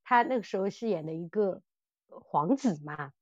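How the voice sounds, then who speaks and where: A woman's voice: fairly low pitch, animated, moderate pace, no echo, muffled recording. A woman hosting a podcast in casual fan chat, gushing and exclaiming with animation. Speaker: podcast host. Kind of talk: casual fan chat about audio dramas.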